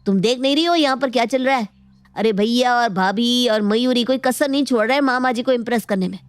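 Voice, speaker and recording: A woman voicing a scheming, flattering young nephew, boastful and eager to please, in an animated moral story. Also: fairly high pitch, brisk, clear, resonant tone, no room sound, natural voice. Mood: stubborn, scheming. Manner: ingratiating, boastful.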